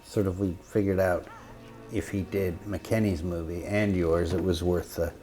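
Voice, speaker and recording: A man's voice: deep voice, steady tone, unhurried, over music. A man in an interview, reminiscing about film production in a conversational way.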